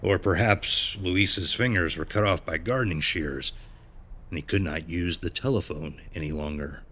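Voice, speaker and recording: Menacing cartel collector male actor, very low forceful voice, slow mock concern, horror audio drama. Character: menacing well-groomed cartel debt collector, falsely courteous man.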